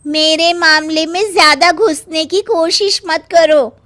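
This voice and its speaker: A woman voicing a defiant, snappy college-age daughter in an animated family story. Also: extremely high pitch, slow pace, no room sound, exaggerated voice.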